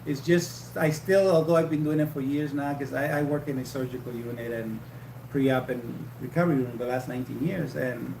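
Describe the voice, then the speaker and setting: A man hosting a casual livestream chat, talking in a halting, rambling way with many restarts. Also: medium pitch, steady tone, gravelly, far from the mic. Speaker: livestream host. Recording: echoey room with faint hum.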